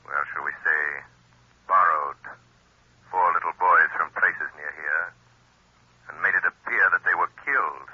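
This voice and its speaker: An actor playing an invisible avenger, calm, commanding and menacing, with deliberate authority, in a 1930s radio drama. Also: male voice, rumbling low, husky, full character voice. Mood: measured, firm. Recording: muffled old radio recording.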